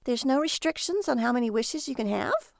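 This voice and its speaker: A woman's voice: sits high, expressive, fairly quick, vocal fry, no room sound, clean studio sound, pitched above the performer's own voice. A woman voicing an impulsive, hot-tempered wife who swings from snappy to sweet. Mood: suspicious.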